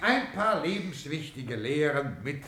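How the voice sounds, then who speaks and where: A pompous male master of ceremonies, high and swooping in pitch. He declaims slowly in a roomy radio-play banquet hall amid a loud crowd. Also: sing-song. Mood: grandly ceremonious. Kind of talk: German radio play acting.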